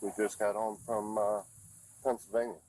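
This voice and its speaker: A man's voice: on the low side, little pitch movement, moderate pace, darkish tone, rough recording. A man speaking plainly in an unscripted conversation. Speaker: civilian father at his home. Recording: outdoor body camera, faint insect noise.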